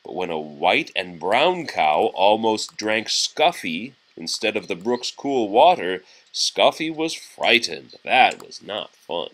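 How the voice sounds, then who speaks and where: A man reading a children's storybook aloud expressively, pausing to chat and answer questions from young listeners. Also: lowish voice, sing-song, a bit bright.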